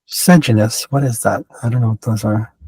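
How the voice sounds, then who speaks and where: A man's voice: fairly low pitch, a little fast, mellow, husky, dry recording. A man with a mixed Canadian and West Coast American accent giving a casual astrology chart reading, rambling and often interrupting himself. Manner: rambling, self-interrupting, digressive.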